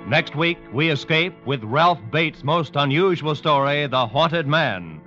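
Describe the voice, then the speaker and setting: A man reading scripted credits as a formal, measured mid-century radio announcer. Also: fairly high pitch, expressive, music in the background. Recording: dull old radio broadcast recording.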